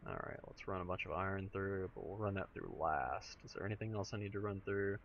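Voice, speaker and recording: A man with a slightly hoarse, raspy voice chatting to himself while playing through a modded game. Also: sits low, brisk, a bit bright, dull audio, slight background noise. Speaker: let's play gamer. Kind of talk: casual gameplay commentary.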